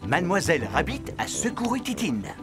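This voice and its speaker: A man's voice: sits high, huge pitch swings, fairly quick, exaggerated voice. A man playing the cheerful narrator of a children's cartoon, telling the story. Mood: storytelling. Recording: music behind the voice.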